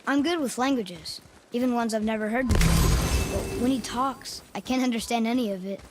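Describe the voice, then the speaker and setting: A woman's voice: a little high, normal speed, in a large hall, very noisy, natural voice. A performer playing a curious, earnest young boy in a video game, talkative, inquisitive and eager. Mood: proud, chatty.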